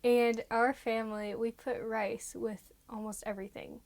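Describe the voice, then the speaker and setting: A woman's voice: on the high side, flat delivery, moderate pace, darkish tone, no echo. A woman who grew up as an American in China, chatting casually and explaining things in a giggly way. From China, as an American expatriate child. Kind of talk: casual conversational storytelling.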